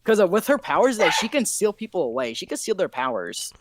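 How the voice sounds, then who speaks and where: A man's voice: high voice, very animated, brisk. A man co-hosting a casual online podcast, insistent, arguing his point by citing chapters and panels. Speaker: podcast co-host.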